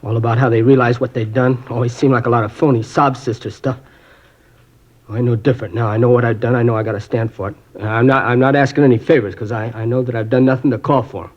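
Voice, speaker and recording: A man's voice: mid-range voice, fairly even intonation, fast talker, natural voice. An actor playing a sullen, defiant young male drug user in a 1950s radio drama. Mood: remorseful. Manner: sneering, defiant. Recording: dull old radio recording.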